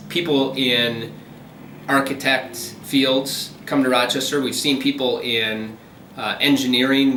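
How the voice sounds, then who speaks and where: Bright-voiced male realtor, distant and echoey. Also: mid-range voice, a little hiss or hum. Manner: steady, businesslike explaining with frequent ums. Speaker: commercial realtor. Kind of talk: phone interview.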